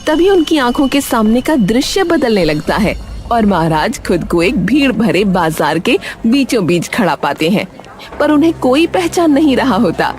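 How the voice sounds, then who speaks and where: Woman narrating a dramatic animated tale. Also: fairly high pitch, moderate pace. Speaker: story narrator.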